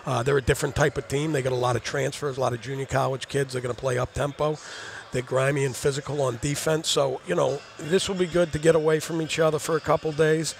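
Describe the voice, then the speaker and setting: A middle-aged man talks through a postgame interview as an assistant coach, his voice gravelly with a rough, creaky edge. He rambles and repeats words while he thinks, speaking into a headset mic. His pitch sits in an ordinary middle range. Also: level tone, fast, hardly any pauses, slight background noise. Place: studio set in a gym, indoors.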